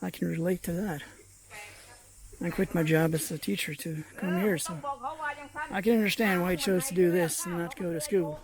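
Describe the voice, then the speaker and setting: A man from Norway speaking English, giving relaxed, chatty travel commentary to camera outdoors at a jungle camp. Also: high voice, moderate pace, a crowd in the background, low-quality recording. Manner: relaxed, chatty, thinking aloud. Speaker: travel vlogger.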